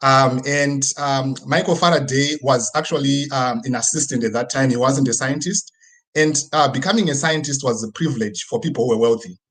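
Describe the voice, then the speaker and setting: A man with a Zimbabwean accent and a husky, slightly rough voice at a middling pitch. He speaks as a guest presenter online, telling a personal, motivational story. His delivery is conversational, dotted with little tags that seek agreement. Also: normal speed, fairly bright tone. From rural Midlands region of Zimbabwe. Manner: conversational, frequent tag questions seeking agreement. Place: online video presentation with screen sharing.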